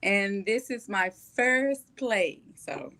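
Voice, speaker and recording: A woman's voice: medium pitch, expressive, slow pace, gravelly, sharp tone. An American woman giving casual interview answers in a chatty, light-hearted way. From Texas, United States. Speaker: first-time stage actress, interview guest.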